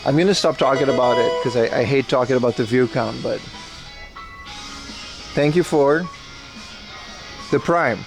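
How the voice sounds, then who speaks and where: A young man livestreaming as he browses a secondhand shop, chatting excitedly and thanking viewers, recorded on a handheld phone. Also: mid-range voice, normal speed, creaky, long pauses, over music. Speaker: streamer. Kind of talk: casual IRL livestream chat.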